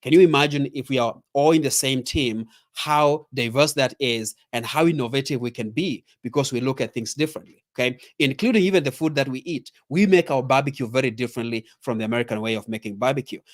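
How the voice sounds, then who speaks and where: A man's voice: mid-range voice, quick pace, few pauses. Middle-aged Kenyan man giving leadership training. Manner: emphatic, repeats key points, addresses listeners directly. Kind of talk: motivational training talk.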